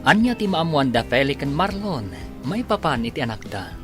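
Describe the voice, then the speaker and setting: A man's voice: a little high, sing-song, normal speed. A man with a Filipino accent narrating and announcing a radio serial in a dramatic scripted style. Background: music.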